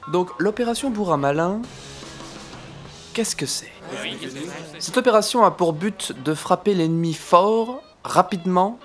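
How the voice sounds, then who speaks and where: An actor playing a pompous, easily exasperated French army captain of the First World War in a comic audio drama, speaking in a theatrical, declamatory way. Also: male voice, high voice, lively intonation, unhurried, pauses often, faint music behind.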